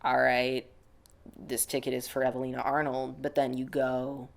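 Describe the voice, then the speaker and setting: A man's voice: mid-range voice, completely flat delivery, normal speed, vocal fry. A young man from the United States, answering interview questions in a reflective, casual way, often saying "like". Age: young adult. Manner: reflective, many filler "like"s.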